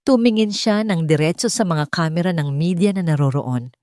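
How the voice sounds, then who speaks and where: A woman's voice: fairly low pitch, moderate pace, a little mellow, no echo, studio quality. A woman with a Filipino accent reading a dramatic scripted story as a narrator.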